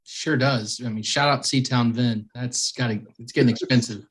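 A man's voice: mid-range voice, fairly even intonation, moderate pace, husky. Laid-back joking man co-host. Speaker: podcast co-host. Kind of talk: casual chat.

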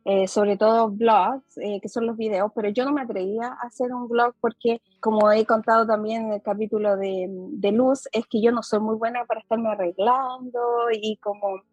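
A woman's voice: fairly high pitch, steady tone, moderate pace, few pauses, a little mellow, husky, dry recording, dull audio, faint music behind. A woman with a mixed Chilean accent hosting a casual podcast chat over a video call, talking in a chatty way with many fillers. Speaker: podcast host.

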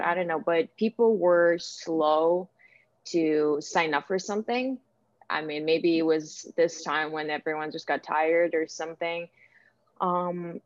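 Casting: woman, Ukrainian accent, low register, conversational and hesitant interviewee, muffled lo-fi recording. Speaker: interviewee, actor and director. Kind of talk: casual interview answers. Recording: echoey room, dull lo-fi sound.

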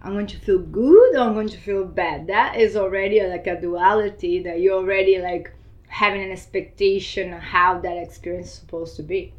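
A woman's voice: mid-range voice, normal speed, dull audio. Brief: woman, yoga teacher in casual interview, easy laugh, rambling explanations. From Argentina and Brazil. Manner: laughs often, loose run-on explanations.

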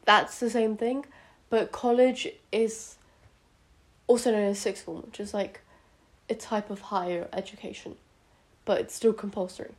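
A teenage girl with a British accent and a medium-pitched voice chats casually as a podcast host. She sounds a bit sick and sniffly. Her delivery is hesitant, rambling and self-conscious. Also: steady tone, a little slow, pauses often. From United Kingdom, born in India. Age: teenager, about 14 to 15. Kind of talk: casual solo podcast chat.

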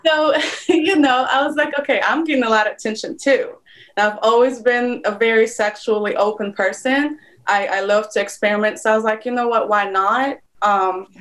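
A woman's voice: mid-range voice, fairly bright tone. A woman in her thirties from Poland, speaking English as an interview guest, chatty and frank with many fillers, over a video call. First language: Polish. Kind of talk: casual interview chat.

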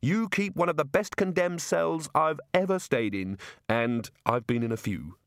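A man's voice: fairly high pitch, lively intonation, moderate pace, no echo, studio quality, voice pushed up. Man acting a mild, wry, hapless wizard. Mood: resigned, polite.

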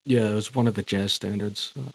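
A young man chatting casually as a podcast co-host in a relaxed, easygoing way. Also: on the low side, fairly quick, gravelly, no room sound, poor audio. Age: young adult. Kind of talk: casual chat.

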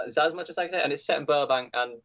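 A man's voice: on the high side, very fast, a bit bright, dull audio. A man guesting on a podcast as a band member, chatting in a hesitant way with many fillers. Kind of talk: casual chat.

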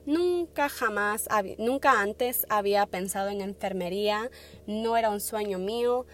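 A woman's voice: medium pitch, normal speed, a little hiss or hum. A young woman in her twenties talking casually on her podcast in Spanish, hesitant, full of fillers and restarts, with English words slipping in. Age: 20s. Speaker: podcast host. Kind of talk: casual personal talk.

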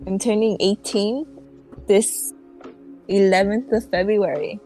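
A woman's voice: medium pitch, steady tone, slow, dark tone, poor audio. Teen girl from mexico, dry sarcastic podcast chat, faint music in the back. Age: teens. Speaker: podcast co-host. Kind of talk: casual chat.

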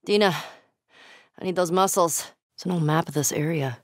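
A woman's voice: on the low side, normal speed, no room sound, clean studio sound, lightly acted. A woman playing a tough, sardonic, foul-mouthed young American survivor in a video game, blunt and naturalistic in delivery. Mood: casual. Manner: blunt, sweary.

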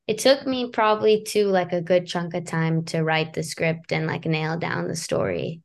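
A young woman in her twenties, a writer-director guest, telling stories in a quick, chatty way with fillers. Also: on the low side, steady tone, creaky. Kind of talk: casual interview answers.

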